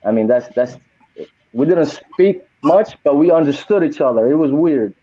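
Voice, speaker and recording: A man's voice: on the high side, animated, normal speed, warm tone, no room sound. A man in his forties chatting casually on a podcast, loose and heartfelt, peppering his talk with "you know". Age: 40s. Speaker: podcast co-host and trip volunteer. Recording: dry, tinny phone-like sound.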